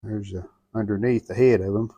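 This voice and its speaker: A man's voice: fairly low pitch, steady tone, brisk, dark tone, slightly rough, no room sound, lo-fi sound. An American man narrating hands-on repair work in a folksy, plainspoken way, thinking aloud as he goes. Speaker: host of a radio restoration channel.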